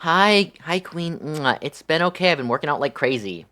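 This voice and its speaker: A man's voice: on the high side, expressive, normal speed, pushed and bright, soft background music. A young man livestreaming, chatting casually with his viewers in a playful, affectionate manner.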